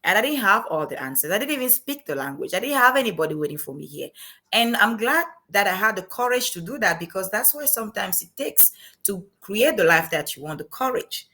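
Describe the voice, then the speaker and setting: A woman's voice: mid-range voice, very animated, a little fast, sharp tone. A young woman from Cameroon with a French-Cameroonian accent, speaking as an earnest, motivational guest on an interview show. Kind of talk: interview chat.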